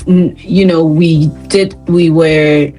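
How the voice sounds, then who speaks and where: A woman's voice: low voice, steady tone, slow, warm tone, faint music behind, rough recording. A woman from Ghana hosting an interview, encouraging and chatty, guiding her guest with questions. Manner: encouraging, chatty, laughs lightly. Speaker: interview host. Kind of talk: conversational interview.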